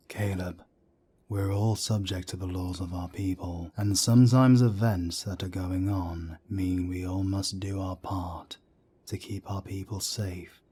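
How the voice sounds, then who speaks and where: A calm, fatherly werewolf elder, voiced by a man in an audio drama, deep and husky with a dark, mellow tone. He speaks softly, often close to a hush, with a patient, gently chiding manner. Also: takes it slowly, heavily acted. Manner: soft-spoken, gently chiding. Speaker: council elder.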